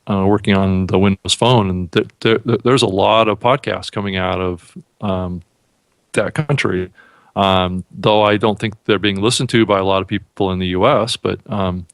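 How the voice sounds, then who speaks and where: A man with a low voice hosts a casual podcast and thinks aloud, often restarting and repeating words. The recording is dry and close, with no room echo. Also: a bit bright, smooth. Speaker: podcast host. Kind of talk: casual chat.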